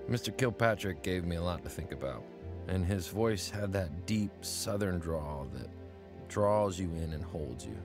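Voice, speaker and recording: A man's voice: low-pitched, expressive, a little slow. A man in his twenties narrating his own story reflectively in a radio drama. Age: 20s. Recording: narration over music.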